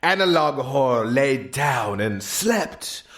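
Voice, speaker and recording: A man's voice: mid-range voice, big pitch swings, slow, a bit bright. A man narrating an absurd comedic tale as a storyteller, with a theatrical, exaggerated delivery.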